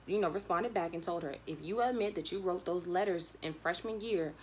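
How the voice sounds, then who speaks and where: Low-pitched young woman storytelling over noise. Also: a little fast. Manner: animated, slangy, acts out quoted dialogue. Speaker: vlogger telling a personal story. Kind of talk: casual conversational storytelling.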